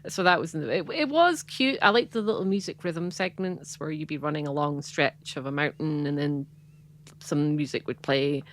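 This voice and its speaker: Scottish woman, low voice, rambly casual podcast co-host. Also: normal speed. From Scotland. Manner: chatty and rambling, frequent "like" and laughs. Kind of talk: casual chat.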